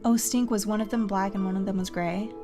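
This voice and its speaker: A woman's voice: mid-range voice, fairly even intonation, fairly quick. A woman doing casual live game commentary as a streamer, thinking aloud and talking to herself with mild exclamations. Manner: self-talking, with mild exclamations and sing-song filler syllables. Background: music.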